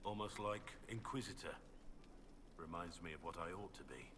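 A man voicing a brooding, guilt-ridden bearded warrior in a video game, speaking low and gravelly. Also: normal speed, a bit bright, slight background noise.

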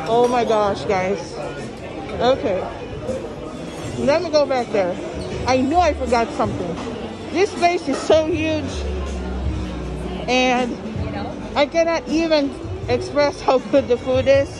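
High-voiced woman vlogging in a busy restaurant. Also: takes it slowly, long pauses, rough recording. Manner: chatty and enthusiastic, often repeating phrases. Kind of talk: casual vlog commentary while touring a buffet. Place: large busy buffet restaurant, indoors. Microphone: handheld phone camera. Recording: busy indoor restaurant crowd and music. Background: diners' chatter and background music.

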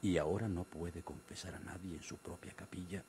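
An actor playing a grave, authoritative Mexican priest in a radio drama, speaking in a measured, formal way. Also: male voice, low-pitched, fairly even intonation, a little mellow, a little hiss or hum, lightly acted. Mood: solemn, puzzled. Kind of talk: golden-age radio drama acting.

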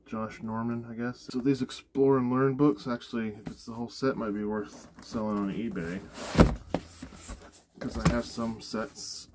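A man's voice: mid-range voice, flat delivery, moderate pace. A man narrating casually as he digs through boxes inside a cargo trailer, thinking aloud, recorded on a body-mounted camera. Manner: offhand, thinks aloud with fillers. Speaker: storage-unit reseller. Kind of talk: casual narration while unpacking. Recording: noisy camera audio.

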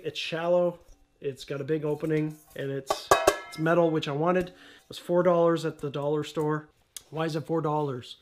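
Chatty man in an echoey garage. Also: on the high side. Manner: chatty, offhand, with small jokes and asides. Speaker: DIY home gym channel host. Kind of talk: casual how-to vlog. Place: cluttered garage home gym, indoors. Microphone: camera-mounted mic, a few meters off. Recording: echoey garage room with faint noise.

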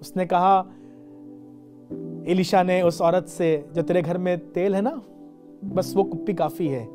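Indian bishop in his forties, mellow and warm, dramatic sermon, soft music underneath. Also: sits high, fairly even intonation. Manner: dramatic, slows for emphasis, switches into English phrases. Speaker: bishop preaching. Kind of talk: sermon to camera. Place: dark studio set with a lamp.

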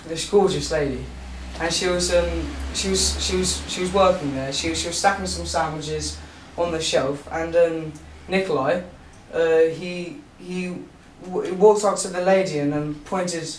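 A teenage busker telling a story on stage, halting and full of restarts. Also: male voice, fairly high pitch, steady tone, slight background noise. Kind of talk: nervous live storytelling. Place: small room at a live storytelling night. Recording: echoey room, far camera.

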